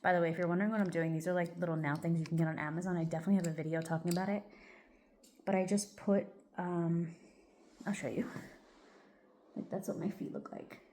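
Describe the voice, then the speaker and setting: A woman with a slightly low voice chats casually while getting ready, her talk loose and rambling and packed with "like" and "um". She sounds a touch stuffy, as if coming down with something. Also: fairly even intonation, fast, long pauses, warm tone, slight background noise. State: a little congested, stuffy. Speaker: vlogger. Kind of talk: casual get-ready-with-me chat.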